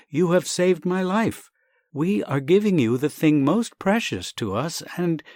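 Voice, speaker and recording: A man acts out an earnest young man from a comic storybook, effusive and pleading. The voice is lifted high and swings emphatically as he gushes like a devoted son and art lover, recorded dry. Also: a little mellow, dry recording. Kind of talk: acted reading of a comic short story.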